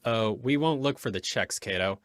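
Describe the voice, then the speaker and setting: A man acting a calm, decisive hero giving instructions in an old-time radio crime drama. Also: mid-range voice, moderate pace, smooth, close to an everyday voice. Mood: composed, purposeful.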